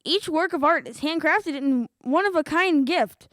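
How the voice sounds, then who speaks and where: Young female radio co-host: high voice, scripted ad reads, dry sound. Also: measured pace, fairly bright tone, no room sound. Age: young adolescent, middle school student. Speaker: radio show co-host reading sponsor spots.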